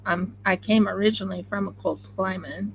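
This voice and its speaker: A woman with an Oklahoma accent chatting excitably and rambling on a home craft live stream while she sews. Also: medium pitch, brisk, creaky, a little hiss or hum, low-quality recording. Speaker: craft channel host. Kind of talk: casual live-stream chat while sewing.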